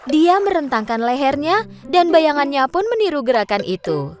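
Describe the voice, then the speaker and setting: A woman narrating a children's cartoon story in a lively, expressive way. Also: sits high, moderate pace. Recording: narration over loud background music.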